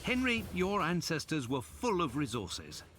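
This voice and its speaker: An actor playing a fussy, questioning gentleman companion to a detective in a video game, formal and expressive. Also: fairly high pitch, measured pace, faint music behind, exaggerated voice. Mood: inquisitive. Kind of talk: video game dialogue acting.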